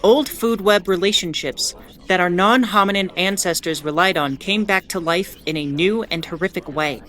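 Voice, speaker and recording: A woman's voice: lowish voice, normal speed, fairly bright tone, lightly acted. Woman acting as wry female spaceship bulletin host. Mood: solemn, storytelling. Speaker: host of an in-world radio bulletin. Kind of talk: scripted audio drama narration.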